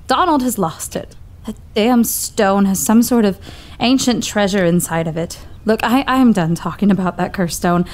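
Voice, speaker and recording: An actress playing a fed-up, sharp-tongued female assistant who speaks curtly, with music behind. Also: mid-range voice, moderate pace, lightly acted. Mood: exasperated. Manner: curt, exasperated. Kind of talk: audio drama acting.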